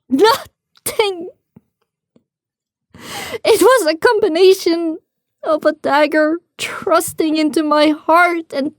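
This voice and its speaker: A woman playing a determined young female pony who tells her story with grief, in an emotional, halting, drawn-out delivery for an audio drama. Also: very high voice, dry recording, clean studio sound, heavily acted. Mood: anguished wailing, then broken, sorrowful. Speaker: narrator. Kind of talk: first-person dramatic audiobook narration.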